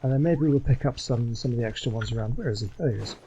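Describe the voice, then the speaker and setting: A man's voice: mid-range voice, fast, mellow, muffled recording, slight background noise. A man giving casual, chatty let's play commentary in a rambling way. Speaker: gaming channel host.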